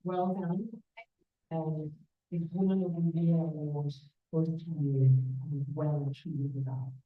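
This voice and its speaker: A woman's voice: sits low, fairly even intonation, a little slow, phone-quality sound. A woman hosting an awards event over a video call, effusive and emphatic, with a voice she is losing. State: losing her voice. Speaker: event host.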